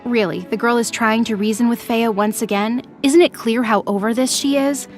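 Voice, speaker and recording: A woman's voice: fairly high pitch, steady tone, normal speed, soft background music. A woman narrator reading scripted, dramatic fiction for an audio drama.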